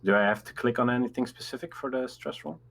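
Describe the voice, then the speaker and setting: A man's voice: medium pitch, fairly even intonation, quick pace, fairly bright tone. A man chatting casually as a player in a tabletop roleplaying game.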